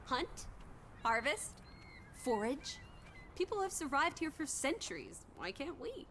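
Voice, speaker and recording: Plucky young sidekick for a narrative game: bright-spirited, swooping intonation, wry and slangy, cheeky. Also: female voice, high-pitched, sing-song, a little slow, a little hiss or hum, full character voice. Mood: playful, curious. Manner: playful, wry, uses old-fashioned slang. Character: plucky, playful, teasing young woman companion with slangy wit. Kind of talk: naturalistic video game voice acting.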